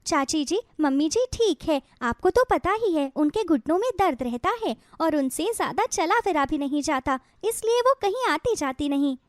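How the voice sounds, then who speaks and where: A sweet, modest young daughter-in-law, played by a woman in a cartoon moral tale. The voice is extremely high, polite and dutiful, in a dry recording. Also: animated, normal speed, few pauses, no echo. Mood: cheerful, polite. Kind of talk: acted cartoon moral-story dialogue.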